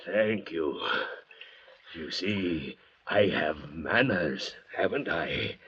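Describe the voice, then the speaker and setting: A menacing escaped convict in an old radio play, low and very slow. He is crazed by thirst and sneers with mock politeness, his voice swinging widely on a muffled vintage recording. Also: lively intonation, a bit bright, breathy edge, full character voice. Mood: mock-polite menace. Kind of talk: old-time radio drama acting.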